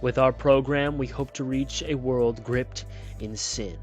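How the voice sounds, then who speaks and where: A man's voice: medium pitch, fairly even intonation, a little slow, darkish tone, soft background music, close to an everyday voice. A man voicing a sing-songy TV preacher. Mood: preaching. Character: smiling male TV preacher, smooth and sing-songy. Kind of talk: storybook reading.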